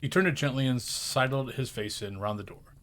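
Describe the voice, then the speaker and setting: A man reading a classic story aloud as narrator, in an expressive storybook style. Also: mid-range voice, brisk.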